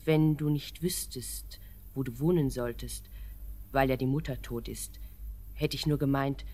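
A woman's voice: extremely low pitch, a little slow, warm tone. An actress playing a young woman who once loved him, earnest and pleading, in a classic radio play.

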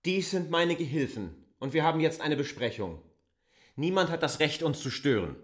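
A man's voice: a little high, sing-song, moderate pace, no room sound, clean studio sound. An actor playing a stern, commanding male stranger who gives brusque, exacting orders in a literary radio drama. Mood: firm, insistent.

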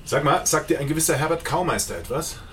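An actor playing a chatty, knowing police colleague in a radio drama, explaining things wryly. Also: male voice, on the high side, animated, quick pace, fairly bright tone, a little hiss or hum. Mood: informative. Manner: wry, explanatory.

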